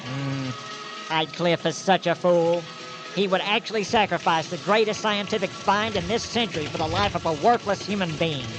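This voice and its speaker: An actor playing a pompous, scheming rival scientist in a radio drama, haughty and theatrical. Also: male voice, high-pitched, normal speed, over music, close to an everyday voice, rough recording. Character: pompous, scheming rival scientist, high-strung male villain.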